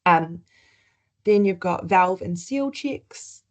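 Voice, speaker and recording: Low-pitched woman, New Zealand accent, relaxed webinar presenter who restarts often. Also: slow. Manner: conversational, with frequent ums and restarts.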